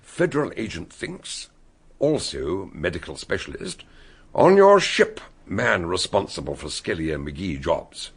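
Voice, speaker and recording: An actor playing a shrewd male detective who calmly lays out how the crime was solved in a radio drama. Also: deep voice, husky, heavily acted. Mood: explaining. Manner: explaining, measured.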